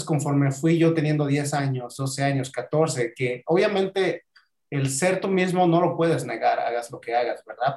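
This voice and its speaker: A man's voice: mid-range voice, a little mellow. A man in his forties from Acapulco with a Mexican accent, telling his personal story candidly and reflectively as a podcast guest, now and then dropping in English filler words. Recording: echoey room.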